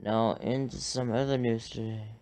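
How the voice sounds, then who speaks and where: A man reading morning news in a measured newscaster delivery. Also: mid-range voice, steady tone, darkish tone. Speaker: news anchor.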